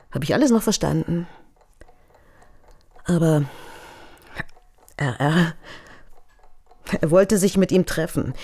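A melancholy confidante in a radio crime drama. She speaks softly and hesitantly, guarded and evasive, as if each answer is given reluctantly. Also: low-pitched, gravelly, full character voice. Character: guarded, melancholy woman confiding about a naive man.

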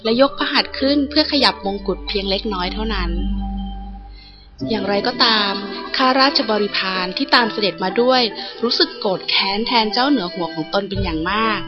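A woman's voice: mid-range voice, lively intonation, a little fast. A woman narrating a scripted storybook tale as an audiobook reader. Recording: dull lo-fi audio with music behind. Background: music.

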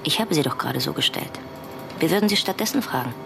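A woman's voice: deep voice, expressive, fast, creaky, music in the background. A woman playing a composed sound technician in a radio drama, answering briefly. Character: composed female sound technician being interviewed.

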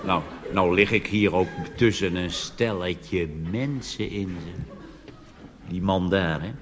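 A Dutch man doing a cabaret monologue on stage, delivering deadpan punchlines and jumping into animated put-on voices. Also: deep voice, slow. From Netherlands. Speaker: cabaret comedian. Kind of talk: stand-up monologue. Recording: reverberant hall with audience noise.

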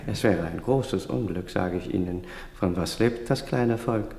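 A self-important, suspicious lodger in a radio drama. His low, gravelly voice insists and grumbles, ringing through a reverberant hall. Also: measured pace, darkish tone. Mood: insistent, grumbling. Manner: insistent, grumbling, emphatic short sentences. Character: gaunt, suspicious, self-important lottery-dreaming male hotel lodger. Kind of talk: German radio play acting. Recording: echoey hall with long reverb.

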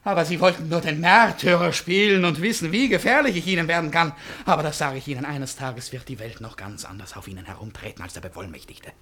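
A man's voice: on the high side, fast, few pauses, bright, forceful tone. An actor in a German radio play playing a feverish, raving, self-tormenting man who lurches between outbursts and broken muttering. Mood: agitated, scornful.

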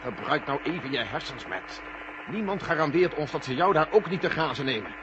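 A male actor as a military general in an old radio play, earnest and persuasive. His voice sits slightly high for a man. The recording is dull and thick with loud noise. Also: lively intonation, brisk, close to an everyday voice. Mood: urgent, persuasive. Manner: urgent, persuasive. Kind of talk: Dutch radio drama acting.

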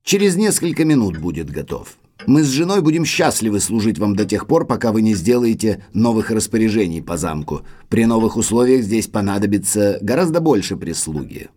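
An actor playing a formal, polite manservant of an old manor in an audio drama. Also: male voice, on the low side, very animated, a little fast, dark tone. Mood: deferential, formal. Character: deferential, sorrowful manservant butler of an old manor.

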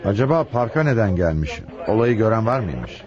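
An actor playing an authoritative, inquisitive police chief inspector in a radio drama, questioning in a measured way. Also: male voice, on the low side, sing-song. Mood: businesslike, probing. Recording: muffled old radio broadcast, crowd murmur.

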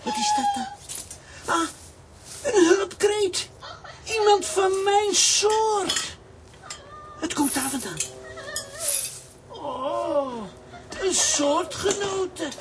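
A woman's voice: very high voice, very animated, extremely slow, long pauses, noisy background, full character voice. A woman voicing a small, cheeky enchanted coffee pot, eager and childlike, in a children's radio play. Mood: curious. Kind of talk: children's comic-strip radio play acting.

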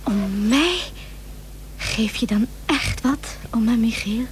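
Tender young woman, fairly high pitch, soft breathy intimacy, steadfast, radio drama, faintly noisy humming recording. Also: takes it slowly. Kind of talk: period radio drama acting.

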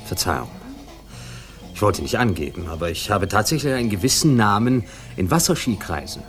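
An actor playing a naive young upper-class man, vain and easily offended, in a halting 1960s German radio crime drama performance. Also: lowish voice, expressive, close to an everyday voice. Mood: stiff, boastful. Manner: hesitant, halting, affronted.

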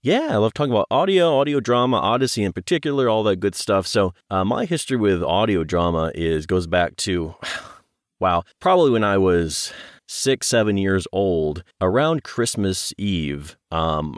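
A man's voice: sits low, lively intonation, moderate pace, dry recording, clean studio sound. A middle-aged man talking as a guest in a casual interview, chatty, enthusiastic and a little self-deprecating. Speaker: audiobook and audio drama producer, interview guest.

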